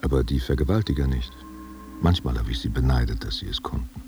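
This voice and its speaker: An actor playing an old war veteran in a radio play, giving halting, evasive confessions in a gravelly, husky voice. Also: male voice, rumbling low, music in the background. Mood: defensive, remorseful. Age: older. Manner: halting, defensive answers to unheard questions. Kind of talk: literary radio play monologue.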